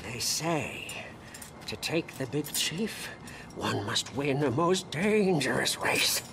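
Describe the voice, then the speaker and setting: An actor playing an eccentric, excitable wasteland sidekick in a video game, with a halting delivery. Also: male voice, mid-range voice, creaky, heavily acted. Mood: eager. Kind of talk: video game character acting. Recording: noisy game ambience behind the voice.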